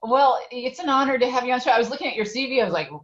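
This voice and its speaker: A woman's voice: high voice, racing, lo-fi sound. A woman hosting an interview, chatty and casual, talking with many fillers. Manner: chatty, with many fillers like "you know" and "I mean". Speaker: interview host.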